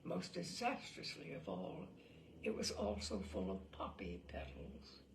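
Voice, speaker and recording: A woman reading a story aloud in a dramatic, theatrical way, with deliberate pauses and emphatic phrasing. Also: low voice, wildly swooping pitch, creaky, slight background noise, poor audio. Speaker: reader of a story.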